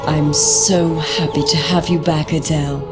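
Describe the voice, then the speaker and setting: A woman's voice: sits low, little pitch movement, a little slow, loud music behind the voice. An actress playing a grateful, pious mother in a video game, speaking tenderly and solemnly. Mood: grateful, relieved. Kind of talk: video game cutscene acting.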